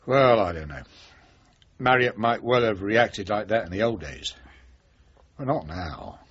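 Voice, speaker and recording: Casting: middle-aged British detective boss, cornered and sardonic, husky lively voice, 1980s radio play. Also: male voice, fairly low pitch, unhurried, exaggerated voice. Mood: sceptical. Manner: blunt, sardonic, probing. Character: cornered, wily veteran police chief inspector, defiant and cynical. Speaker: actor in radio drama. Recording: dull, muffled old radio recording.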